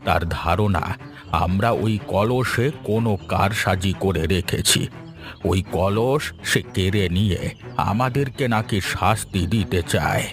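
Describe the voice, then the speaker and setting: A man's voice: on the low side, measured pace, soft background music. A man voicing a poor, humble old villager in a fairy-tale cartoon, deferential and plaintive. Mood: humble, troubled.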